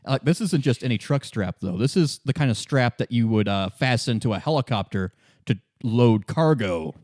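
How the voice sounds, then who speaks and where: A man's voice: mid-range voice, lively intonation, normal speed, a little mellow, no room sound, studio quality. A man running a tabletop game as game master, narrating casually and often restarting his sentences.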